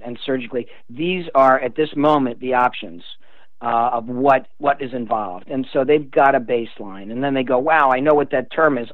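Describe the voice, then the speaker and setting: A man's voice: medium pitch, no echo. Man on a tinny phone line. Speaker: guest author. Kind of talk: explanatory radio interview talk.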